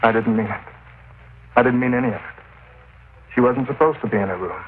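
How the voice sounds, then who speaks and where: A gravelly, broken murderer. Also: male voice, fairly low pitch, steady tone, a little slow, slight background noise. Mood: distraught. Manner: pleading and distraught. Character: broken male murderer confessing to police. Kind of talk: 1940s radio acting. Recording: tinny, distant old radio broadcast.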